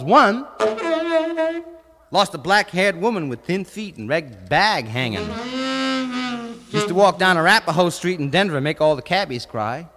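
A man performing as a wandering beat poet, reciting blues verse in a drawn-out, incantatory way with horn music behind him. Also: sits high, fairly bright tone, exaggerated voice. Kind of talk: poetry recitation over music. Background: saxophone or brass music.

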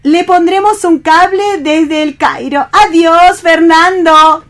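A woman's voice: extremely high pitch, takes it slowly, fairly bright tone, exaggerated voice. An actress with a Spanish accent from Spain playing a bossy, flamboyant, seductive woman in a radio play reading. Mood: breezy, flirtatious. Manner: imperious, theatrical.

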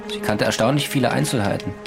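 An actor playing a formal, relentless police interrogator in a German radio drama, controlled and pointed. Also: male voice, mid-range voice, fast, vocal fry, over music, close to an everyday voice. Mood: cool, insistent.

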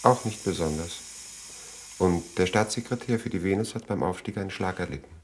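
An actor playing a dry, correct aide and physician in a 1960s German-language radio drama, clinical and official. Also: male voice, deep voice, a little fast, a little hiss or hum, lightly acted. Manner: clinical, official, matter-of-fact.

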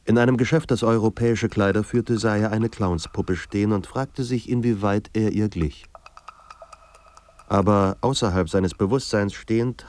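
Man as very deep-voiced, sombre narrator. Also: darkish tone, a little hiss or hum, lightly acted. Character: sombre literary narrator of a troubled writer's life. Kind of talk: scripted literary narration, radio drama.

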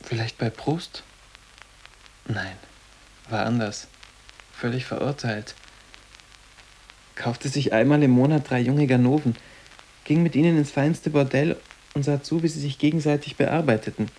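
A man's voice: medium pitch, unhurried, long pauses, dark tone, low-quality recording. An actor playing a sarcastic, blasé teenage boarding-school boy in a radio drama, talking in a dry, offhand way. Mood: blasé sarcasm. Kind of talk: literary German radio drama dialogue.

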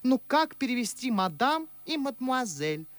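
An actor playing an indignant, eloquent young gentleman, declaiming sarcastically in verse in a theatrical radio play. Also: very high-pitched, big pitch swings, unhurried, no room sound, exaggerated voice. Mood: impassioned, indignant.

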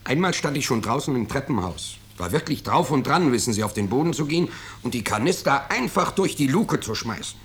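Husky, blustering middle-class businessman. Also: medium pitch, very animated, fairly quick. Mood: agitated, evasive. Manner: blustering, insistent, defensive. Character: smug, self-justifying, anxious bourgeois businessman. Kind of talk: 1950s radio drama acting. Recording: 1950s radio recording.

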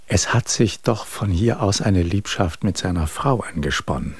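Husky, low-voiced dry observer. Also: male voice, animated, moderate pace, no echo, exaggerated voice. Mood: dry, sardonic. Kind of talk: radio drama reading of letters.

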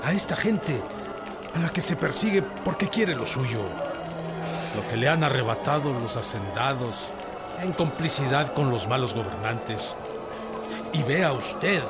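Radio drama rebel leader, male actor: Mexican, indignant and commanding, measured oratory in a mid-pitched voice over music. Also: lively intonation, natural voice. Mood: indignant, defiant. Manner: emphatic, deliberate oratory. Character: defiant, indignant male agrarian revolutionary general, stern and commanding. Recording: music behind the voice.

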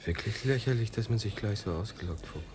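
An actor playing a weary, sick writer who speaks courteously and in a subdued way in a radio drama. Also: male voice, on the low side, fast, background noise, close to an everyday voice. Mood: weary, apologetic. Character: weary, sick American writer, polite and grateful.

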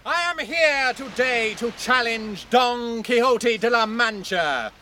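Young knight proclaiming, very high forceful voice, slow and formal, radio drama, faint background noise. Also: male voice, expressive, heavily acted. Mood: commanding challenge. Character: imposing young male knight issuing a formal challenge. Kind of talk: declamatory radio drama acting.

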